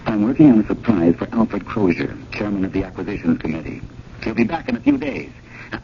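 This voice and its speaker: A man's voice: mid-range voice, vocal fry, a little mellow. A man playing a harried, wry, fretful museum curator in a radio drama, politely exasperated. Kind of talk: 1980 radio drama acting with first-person narration. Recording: dull, noisy old radio broadcast.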